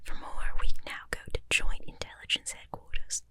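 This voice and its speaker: A woman's voice: normal speed, bright, forceful tone, dry recording. A woman voicing a British TV news anchor, her attempt at the accent whispered in a tentative newsreader manner. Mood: solemn.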